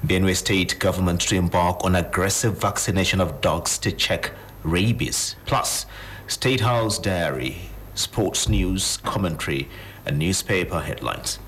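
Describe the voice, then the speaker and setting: Deep-voiced Nigerian male newsreader. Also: measured pace, fairly bright tone, slight background noise. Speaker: radio newsreader. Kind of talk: scripted news headlines.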